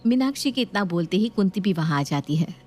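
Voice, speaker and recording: A woman narrating a cartoon moral story in a steady, plain storytelling voice. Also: medium pitch, fairly quick, faint music behind.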